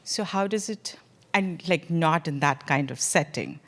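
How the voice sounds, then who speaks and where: A woman in a conference audience working her way through a question. Her voice sits low for a woman, and she pauses often, hedging with fillers as she goes. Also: a bit bright. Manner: hesitant, halting, many fillers. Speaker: audience member. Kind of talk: asking a question.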